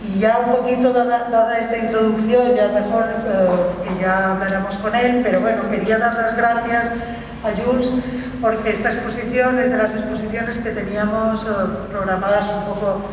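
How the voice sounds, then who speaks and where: A woman curator gives a loose, filler-dotted speech at a press conference. Her voice comes from a distance in a big hall with long reverb. Clear room noise sits behind her. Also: fairly high pitch, moderate pace. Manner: thanking partners, relaxed with fillers. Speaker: exhibition curator. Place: large echoey museum hall.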